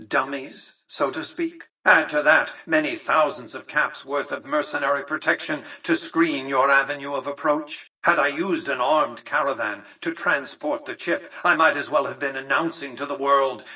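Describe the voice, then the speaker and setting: Game villain-patron: aristocratic male mastermind, bright, forceful delivery, pompous and exacting, set slightly back from the mic. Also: mid-range voice, breathy edge, recorded from a distance, heavily acted, rough recording. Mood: indignant, insistent. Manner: pompous, articulate, measured, condescending. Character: imperious, calculating, aristocratic male casino tycoon and mastermind. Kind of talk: theatrical video game character dialogue.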